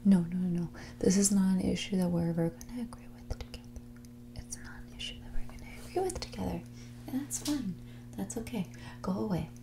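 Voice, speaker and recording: A woman playing a mean, snobby rich young woman in a whispered and soft-spoken ASMR roleplay, talking in a breathy, condescending, bratty way. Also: medium pitch, background noise, lightly acted. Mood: dismissive. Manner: condescending, bratty, dismissive, drawn-out casual phrasing. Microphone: close ASMR microphone.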